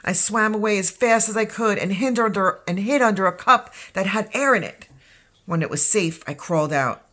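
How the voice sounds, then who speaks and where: A woman's voice: medium pitch, normal speed, pushed and bright, lightly acted. A woman voicing an unflappable grandpa who tells a tall tale in a storybook. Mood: excited storytelling.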